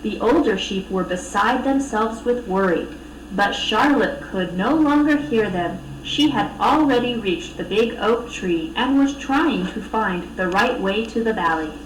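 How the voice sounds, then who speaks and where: A woman reading a children's storybook aloud with expressive narration. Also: medium pitch, measured pace, recorded from a distance. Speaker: storybook reader. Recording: echoey room, off mic, faint noise.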